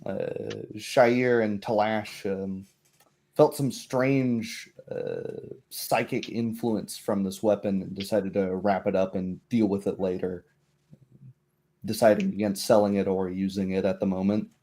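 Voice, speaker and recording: A man acts as game master for a tabletop roleplay. His voice is mellow and a little low. He narrates haltingly, searching for his words at a very unhurried pace. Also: steady tone.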